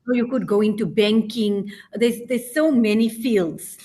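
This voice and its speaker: A woman's voice: fairly high pitch, a little slow, warm tone. Hesitant South African woman teacher. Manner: hesitant, frequent ums and "you know" fillers. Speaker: guest, teacher working abroad. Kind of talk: casual podcast interview.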